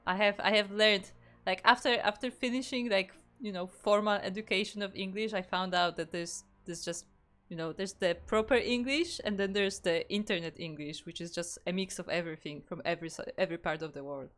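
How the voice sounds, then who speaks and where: A woman's voice: mid-range voice, brisk, a bit bright, faint music behind. A woman from Poland with a Polish accent, casting a live game tournament in a chatty way, often restarting her sentences. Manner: chatty, reads out chat, frequent restarts. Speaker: esports caster.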